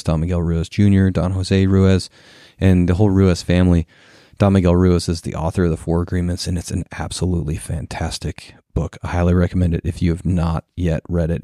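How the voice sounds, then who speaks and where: Male podcast host, very low mellow voice, dry close sound, loose rambling delivery. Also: no room sound. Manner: rambling, repeats himself, friendly. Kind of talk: casual interview chat.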